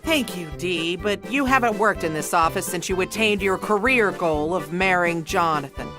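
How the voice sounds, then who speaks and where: A woman's voice: lowish voice, moderate pace, music in the background, natural voice. An actress playing a sarcastic, domineering woman boss in a comedy audio drama, curt and commanding. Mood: dry, scornful. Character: sarcastic, domineering agency boss woman who rules by fear.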